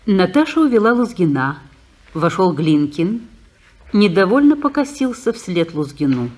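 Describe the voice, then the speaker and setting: A woman narrating the stage directions of a 1950s radio play, brisk and matter-of-fact. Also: fairly low pitch, big pitch swings, a little mellow.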